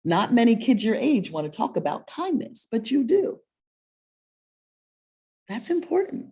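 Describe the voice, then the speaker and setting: A woman co-hosting a children's story webinar, chatting casually in a warm, encouraging, motivational way and often checking in with questions. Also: on the high side, very animated, unhurried, husky.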